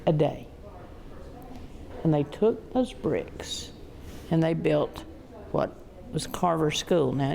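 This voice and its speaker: A woman giving an unscripted museum tour, telling stories in halting, emphatic phrases. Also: fairly low pitch, vocal fry, mellow. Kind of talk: unscripted guided tour talk. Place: inside a museum.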